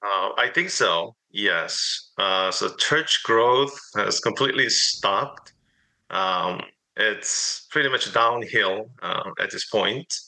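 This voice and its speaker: A man's voice: lowish voice, takes it slowly, gravelly, bright, forceful tone, rough recording. A middle-aged man from South Korea, a pastor answering interview questions hesitantly, with many fillers.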